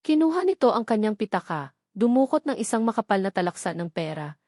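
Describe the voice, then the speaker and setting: A woman's voice: medium pitch, very animated, a little fast, darkish tone, dry recording, clean studio sound. A woman reading a scripted, dramatic love story as its narrator.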